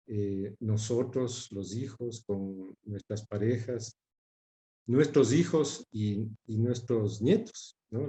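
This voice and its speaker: An older man from Ecuador answering interview questions in a measured, reflective way, often repeating words for emphasis. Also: medium pitch, warm tone. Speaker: interviewee, son of a broadcaster.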